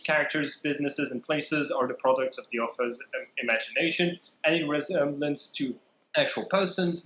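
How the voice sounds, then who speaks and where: A man running a tabletop game as game master, narrating the scene in a measured, deliberate way with many pauses. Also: fairly high pitch, fairly bright tone, lo-fi sound. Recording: echoey room.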